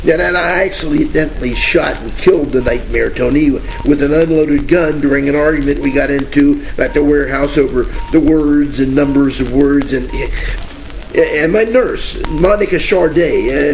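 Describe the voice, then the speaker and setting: Hard-boiled parody detective, male actor: gravelly, dazed, dry and rambling, vintage radio comedy with light music underneath. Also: fairly high pitch, normal speed, darkish tone. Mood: confused, rueful. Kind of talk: 1940s radio detective parody acting and narration. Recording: faint music, old radio crackle.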